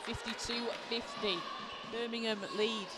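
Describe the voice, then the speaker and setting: A man, hoarse from a cold, giving excited live play-by-play basketball commentary in an indoor arena. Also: extremely high pitch, unhurried, over a noisy crowd. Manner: excited, shouting calls on big plays. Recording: clear arena noise behind.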